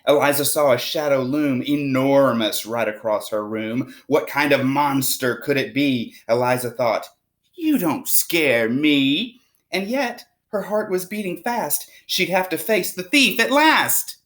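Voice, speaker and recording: A woman reading a rhyming picture book aloud to children with expressive, dramatic storytelling. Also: very deep voice, measured pace, few pauses. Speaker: storybook reader.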